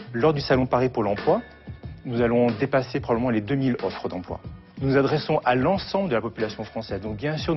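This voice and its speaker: A man giving a formal interview statement in long, flowing explanatory sentences. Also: mid-range voice. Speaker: car industry job fair representative. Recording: slightly dull sound over music. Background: faint background music.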